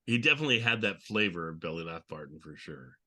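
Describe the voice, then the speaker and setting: Male podcast host, deep yet bright voice, casual and easygoing moderator. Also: sing-song, fast talker.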